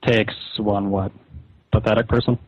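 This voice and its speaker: A man's voice: fairly low pitch, little pitch movement, normal speed, slightly rough. A man arguing on a phone call in a blunt, condescending, persuasive manner. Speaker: rival webcomic creator. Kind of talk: phone argument. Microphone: telephone. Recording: muffled echoey phone call.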